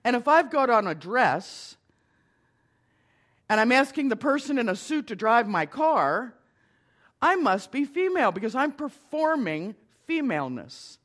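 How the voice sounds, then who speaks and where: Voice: medium pitch, sing-song, unhurried, a bit bright. A middle-aged minister giving a conversational lecture to an audience, with wry asides.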